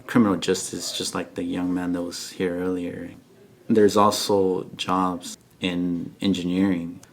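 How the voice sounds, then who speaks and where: A man, a Marine recruiter, speaks slowly and reassuringly about career paths in an interview. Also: sits low, vocal fry.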